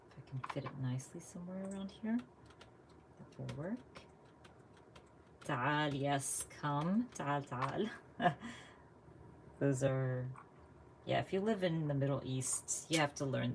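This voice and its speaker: A woman's voice: extremely low pitch, takes it slowly, pauses often, slight background noise. A woman from the United States chatting casually while she crafts live, thinking aloud and laughing often. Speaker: craft vlogger.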